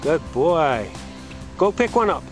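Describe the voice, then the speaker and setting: A man's voice: a little high, very animated, takes it slowly. A man filming his young son outdoors by a park pond, cheering him on and praising each catch. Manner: encouraging, praising a small child. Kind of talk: home video commentary. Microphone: handheld camcorder. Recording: camcorder audio with music over it.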